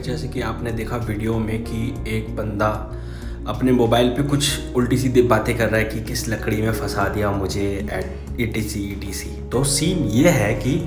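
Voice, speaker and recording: A man's voice: medium pitch, fairly even intonation, unhurried. Young guy vlogging into his phone, roomy echo, music going behind. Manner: earnest warning tone, direct address to viewers. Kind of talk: casual vlog narration. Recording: echoey with loud music behind.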